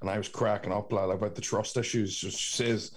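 A Northern Irish man with a fairly low voice hosts a casual podcast. He tells anecdotes in an animated, conversational way and swears freely. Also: fairly quick, slight background noise. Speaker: podcast host. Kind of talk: casual chat, telling childhood anecdotes.

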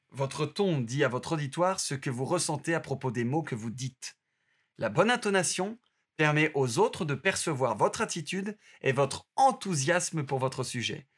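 Male narrator, a touch high-pitched, reads course material in a steady, teacherly way. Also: husky. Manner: steady instructive reading. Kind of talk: scripted narration.

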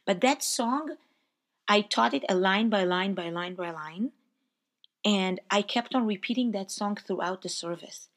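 A woman with a hoarse voice talking reflectively about leading a service, heartfelt, often dropping to a soft, quiet tone. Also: mid-range voice. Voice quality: sings slow sustained hymn lines. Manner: slow, heartfelt, softens to near-whispers. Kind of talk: reflective personal talk.

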